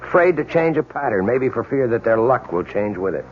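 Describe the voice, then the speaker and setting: An American actor playing a dogged, world-weary police detective in a radio drama, speaking earnestly and insistently with deliberate dramatic pauses. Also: male voice, medium pitch, big pitch swings, slight background noise, lightly acted. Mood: intense, persuasive. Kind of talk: 1970s radio drama acting. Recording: dull, lo-fi old radio drama recording.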